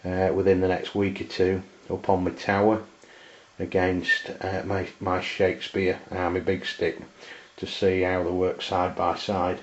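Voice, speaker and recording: Low, monotone Englishman, halting delivery. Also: dull audio. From England. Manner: hesitant, halting, plain-spoken. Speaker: amateur radio reviewer. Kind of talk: unscripted product review demonstration.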